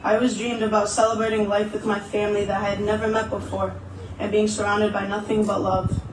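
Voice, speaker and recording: A man's voice: high-pitched, monotone, brisk. A man giving a solemn, earnest prepared speech at a candlelit vigil, recorded from a distance. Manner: earnest, solemn, repetitive phrasing. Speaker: vigil speaker. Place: gathering indoors, recorded from afar. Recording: echoey, distant, noisy event audio.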